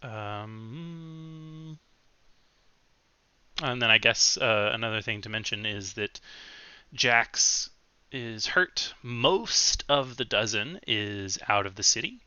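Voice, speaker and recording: A man's voice: medium pitch, slow pace, pauses often, sharp tone, smooth, no echo, muffled recording. A man acting as game master, recapping the last session in a loose way with many ums and self-corrections.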